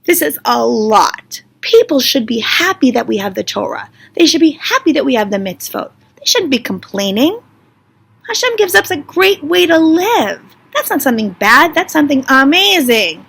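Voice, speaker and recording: A woman's voice: high-pitched, very animated, normal speed, vocal fry, fairly bright tone, full character voice. A woman playing an exasperated, overwhelmed Moses, incredulous and emphatic as the character rants at a complaining people in a storytelling lesson. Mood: overwhelmed, pleading.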